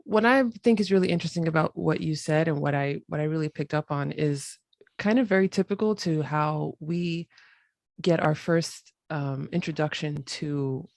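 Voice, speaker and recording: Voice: mid-range voice, normal speed, gravelly, no room sound, clean studio sound. An American podcast host asking questions eagerly, restarting phrases as thoughts form. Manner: enthusiastic, self-correcting restarts. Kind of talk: interview questions, casual chat.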